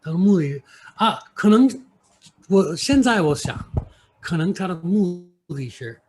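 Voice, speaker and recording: A man from New York with an American accent, an interview guest telling stories casually and haltingly, sometimes switching between English and Mandarin. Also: high-pitched, expressive, a little mellow, rough recording. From New York, United States. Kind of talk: casual conversational storytelling. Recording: clear background noise.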